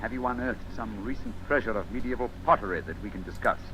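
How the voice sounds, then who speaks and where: A man playing an urbane, teasing English detective with a precise, gentlemanly delivery in an old radio drama. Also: on the low side, quick pace, crowd noise, lightly acted, rough recording. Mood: courteous, brisk. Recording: old radio recording, voices talking over it.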